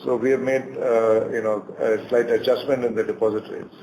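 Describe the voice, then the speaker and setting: A man's voice: fairly low pitch, steady tone, moderate pace, mellow, highs cut off. A man with an Indian accent speaking as a bank executive, answering questions on an earnings call. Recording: faintly noisy conference call.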